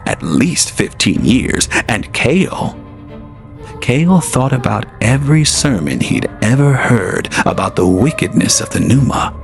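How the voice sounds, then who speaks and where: A man giving measured, dramatic scripted narration for an audio drama. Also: medium pitch, gravelly. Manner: measured storytelling with dramatic swings. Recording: narration over faint music.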